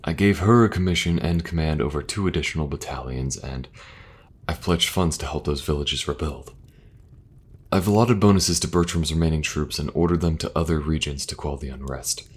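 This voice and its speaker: A man playing a weary, dutiful king in a fantasy audio roleplay, with a grave, deliberate, regal manner. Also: extremely low pitch, slightly rough. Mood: weary, resolute.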